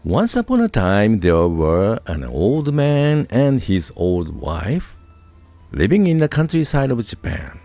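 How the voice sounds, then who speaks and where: A man narrating a scripted folk tale with a deliberate storytelling delivery. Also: on the low side, wildly swooping pitch, mellow, no room sound, soft background music.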